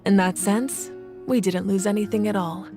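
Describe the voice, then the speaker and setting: A woman playing a calm, wise leader of warriors in a video game, speaking in a measured, formal and reassuring way. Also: medium pitch, close to an everyday voice. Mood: reassuring, solemn. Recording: music behind the voice.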